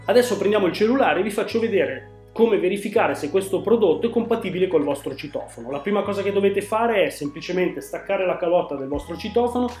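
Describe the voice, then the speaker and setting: A man talks to camera as a tech host. His voice sits high for a man, and he explains things conversationally. The room is echoey and music plays underneath. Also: expressive, quick pace, hardly any pauses, a little mellow. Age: 40s. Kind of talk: casual product presentation to camera. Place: small room at home, indoors.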